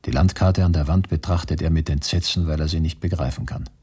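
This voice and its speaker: Radio drama role: weary, bitter male political prisoner, dark husky low voice, measured and brooding. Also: fairly even intonation. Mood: disillusioned. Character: weary, disillusioned concentration camp prisoner, communist, writing to his beloved.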